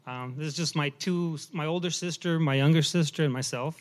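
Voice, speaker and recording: A middle-aged man, born in Romania, giving a personal testimony in church, halting and self-deprecating with many fillers. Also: on the high side.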